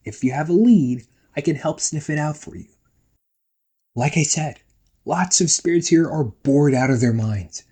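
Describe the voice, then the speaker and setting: A man's voice: on the high side, unhurried, warm tone, husky. A man playing a playful, nagging young ghost brother in a casual roleplay audio drama, teasing and chatty. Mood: playful concern. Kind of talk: casual one-sided roleplay audio acting.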